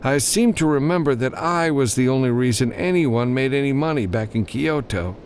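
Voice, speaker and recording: Man voicing a cool-headed, dry gunman, pitched up. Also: normal speed, a little hiss or hum, lightly acted. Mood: dry, resigned. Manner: calm, dry, wry under fire. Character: gruff, cool-headed male freelance gunman. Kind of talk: audiobook character dialogue.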